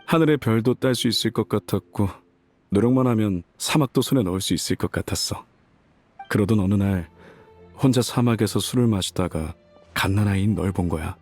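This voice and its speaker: A man's voice: fairly low pitch, darkish tone, breathy edge. A man voicing an ailing old mercenary leader, a boastful but fond father, in a wistful, wry, folksy game performance. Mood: boastful, nostalgic. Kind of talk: dramatic game voice acting, letter read aloud.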